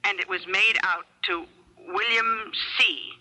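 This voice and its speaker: An actress playing a distraught small-town wife in a 1970s radio drama, fretful, hesitant and emotional. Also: female voice, mid-range voice, fairly even intonation, slow, pushed and bright. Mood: nervous, confiding. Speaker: actor. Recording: dull old radio broadcast recording.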